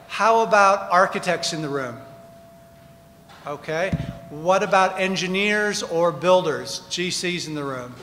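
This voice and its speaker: A man's voice: high-pitched, pushed and bright, slight background noise. A man speaking as a panelist on real estate, casually polling the audience. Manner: conversational, polls the room. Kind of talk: panel talk engaging the audience. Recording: reverberant room, faint ambience.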